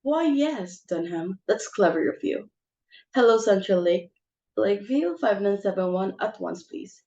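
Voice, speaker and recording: A woman's voice: medium pitch, animated, a little slow, dark tone, muffled recording. A woman playing a bossy, social-climbing society mother with theatrical exclamations in an amateur stage comedy reading. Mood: brisk, bossy.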